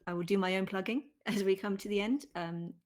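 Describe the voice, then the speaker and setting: A woman's voice: mid-range voice, steady tone, fairly quick, darkish tone. A woman hosting a casual interview, chatty and encouraging as she puts questions to her guest. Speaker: interviewer, host. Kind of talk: casual, informal interview chat.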